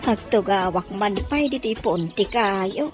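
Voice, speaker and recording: A woman's voice: mid-range voice, expressive, unhurried, over music, natural voice. A woman playing a fretful, kindly older nanny who mutters, exclaims and soothes in an audio drama. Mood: fretful, soothing. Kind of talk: amateur audio drama acting.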